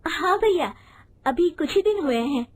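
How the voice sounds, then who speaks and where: A woman plays a young daughter-in-law helping run an eatery. Her voice is very high and animated. She is brisk and blunt, in lively storybook style. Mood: brisk, skeptical. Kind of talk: animated Hindi storybook voice acting.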